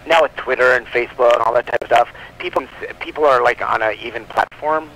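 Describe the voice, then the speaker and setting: Guy guesting on a radio chat, sharp bright voice, chatty and rambly, kinda normal pitch. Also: quick pace, rough recording. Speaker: guest, comic book publisher. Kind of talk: casual radio interview.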